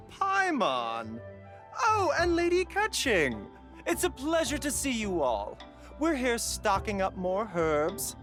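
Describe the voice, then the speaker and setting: Lilting, very animated genteel doctor. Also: male voice, sits high, full character voice. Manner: polite, lilting, theatrical. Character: genteel, courteous young male herbalist doctor. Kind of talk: video game voice acting.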